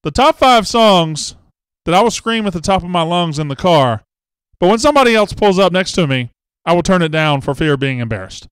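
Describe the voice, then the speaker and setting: A man's voice: high-pitched, lively intonation, a little fast, fairly bright tone, smooth, dry recording. A man hosting a casual live show, chatting and reading viewer comments with self-mocking jokes. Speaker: host. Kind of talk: casual live-stream chat.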